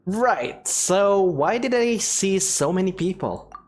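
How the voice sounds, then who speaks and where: A woman's voice: medium pitch, expressive, takes it slowly, darkish tone. A woman giving casual gameplay commentary as a game streamer.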